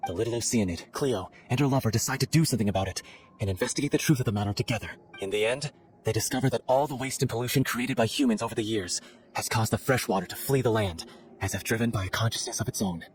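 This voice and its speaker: An actor playing an excitable, earnest theatre scriptwriter in a video game, wordy and given to telling stories. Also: male voice, on the low side, fast, a little hiss or hum, natural voice. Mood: earnest storytelling.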